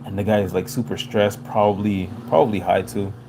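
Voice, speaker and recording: A lower-pitched man whose first language is French talks casually on his livestream, rambling and reacting to his chat with plenty of fillers. There is a clear hiss of noise under his voice. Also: flat delivery, fairly quick. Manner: loose, rambling, fillers like "you know". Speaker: livestream host.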